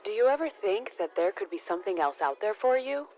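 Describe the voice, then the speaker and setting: A restless young sister, voiced by a woman, speaks with a lively, rising and falling voice. She sounds wistful and searching, as if hungry for a different life. The sound is dull, like an old home video, with faint music behind her. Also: mid-range voice, fairly quick, close to an everyday voice. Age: young adult.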